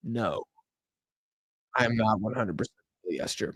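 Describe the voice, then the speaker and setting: A man's voice: fairly low pitch, a little slow, no echo. A tired young man hosting a casual live stream, chatting loosely and answering questions from the chat while losing his voice. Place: home room in Argentina.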